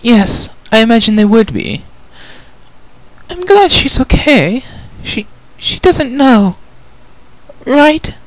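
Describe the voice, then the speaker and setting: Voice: mid-range voice, sing-song, slow pace, long pauses, pitched above the performer's own voice, rough recording. A performer voicing a refined, ladylike female pony in a cartoon fan story, with a genteel, dainty, theatrical manner. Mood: anxious.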